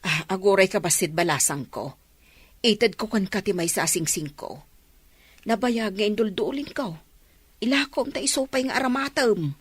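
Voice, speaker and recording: A woman with an Ilocano accent playing a kindly, concerned older village aunt in a radio drama, sympathetic and expressive. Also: mid-range voice, normal speed, breathy edge, no echo, natural voice. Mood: generous.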